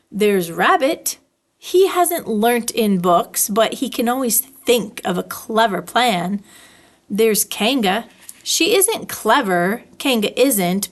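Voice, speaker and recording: A woman's voice: high voice, heavily acted. A woman voicing a small, timid young male piglet in a storybook, wistful and fretful. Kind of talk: storybook read-aloud.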